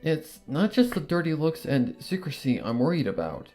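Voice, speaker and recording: A storyteller reading a fan story aloud in a steady, earnest way, while the voice rises and falls expressively through each line. Soft music plays quietly underneath. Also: mid-range voice, moderate pace. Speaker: reader. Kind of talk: first-person fanfic narration.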